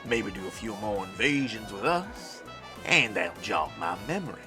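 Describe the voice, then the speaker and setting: An actor playing a wry, unflappable military commander in an audio drama, casual and dryly taunting. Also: male voice, lowish voice, animated, moderate pace, music in the background, natural voice. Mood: dry, evasive. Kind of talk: cinematic audio drama acting.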